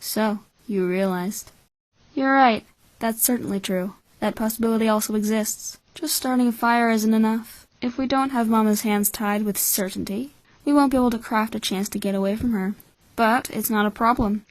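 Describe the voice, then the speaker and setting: A woman voicing a cynical, calculating twelve-year-old orphan boy in a measured, blunt anime-style fan dub. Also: a little high, no echo, natural voice, low-quality recording. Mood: resigned, blunt.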